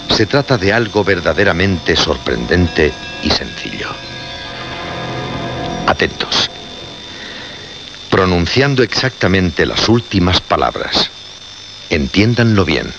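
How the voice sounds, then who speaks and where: Deep, grave radio occult host. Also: male voice, fairly bright tone, full character voice. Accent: Castilian Spanish. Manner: solemn, emphatic, deliberate phrasing. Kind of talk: radio drama acting, solemn announcing. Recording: noisy, dull old radio recording.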